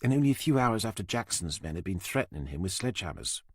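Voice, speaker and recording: Guy reading a lady detective's line, his own low voice, dry. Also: big pitch swings, quick pace, no echo, full character voice. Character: woman detective colleague.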